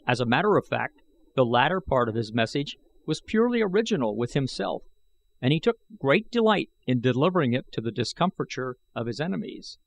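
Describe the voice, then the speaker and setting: A man's voice: medium pitch, very animated, brisk, creaky, no room sound. A man reading an adventure novel aloud as scripted audiobook narration.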